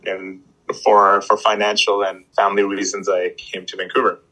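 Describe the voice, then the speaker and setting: Husky, halting man, low voice. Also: completely flat delivery, bright, forceful tone, poor audio. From Pakistan. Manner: halting, many restarts and fillers. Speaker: guest. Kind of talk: casual interview chat.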